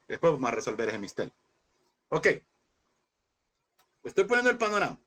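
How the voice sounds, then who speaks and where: A man teaches a Bible class with a slightly raised pitch. He asks questions, repeats them, and stretches out the important words so his students can follow. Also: sing-song, a bit bright. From El Salvador. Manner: addresses listeners as brothers, asks and repeats questions; slows and stresses key words.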